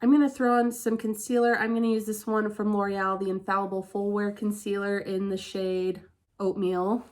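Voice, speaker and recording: A woman's voice: medium pitch, little pitch movement, moderate pace, a little mellow. A woman from Utah vlogging a casual get-ready-with-me, chatty and candid, her voice congested and stuffy from a head cold. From Utah, USA. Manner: chatty and candid, many "like" fillers. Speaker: beauty vlogger.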